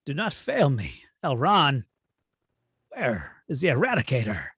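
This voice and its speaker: A man playing an imperious, cold alien supervillain in a script read, husky and pompous, with drawn-out, menacing delivery. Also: medium pitch, huge pitch swings, a bit bright, dry recording, clean studio sound, full character voice. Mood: cold, demanding. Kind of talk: amateur table read of a film script.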